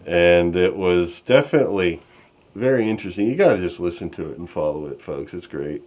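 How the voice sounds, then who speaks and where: A man with a low voice hosts a casual show. His commentary rambles along haltingly, in an informal live style. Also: expressive, darkish tone. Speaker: podcast host.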